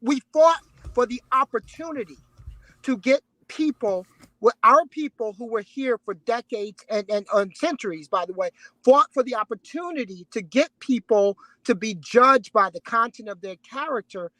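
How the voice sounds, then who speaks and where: A man's voice: extremely high pitch, pushed and bright, no echo, poor audio. A man arguing as a guest in a heated panel debate, stopping and restarting mid-sentence and leaning on analogies. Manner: halting restarts, argues through analogies.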